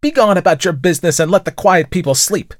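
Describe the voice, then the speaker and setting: Storybook wife, done by a male reader: indignant, sharp, scolding, raised pitch. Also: expressive, fast, no room sound, clean studio sound. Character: sharp-tongued, indignant wife calling from upstairs.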